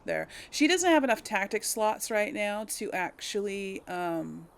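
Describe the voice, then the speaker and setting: A woman's voice: medium pitch, sing-song, measured pace, clear, resonant tone. A woman giving casual, hesitant let's play commentary, thinking aloud to viewers as she plays. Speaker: host of a gaming let's play.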